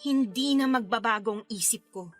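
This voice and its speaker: A woman's voice: on the high side, moderate pace, natural voice. A woman acting a hurt but firm young woman in an emotional, reproachful Filipino radio drama performance. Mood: bitter, reproachful. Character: hurt, firm young woman rejecting her former lover. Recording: music bed under the voice.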